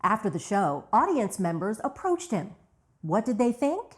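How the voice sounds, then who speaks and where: A woman's voice: mid-range voice, big pitch swings, unhurried. A woman reading scripted documentary narration as the program's narrator.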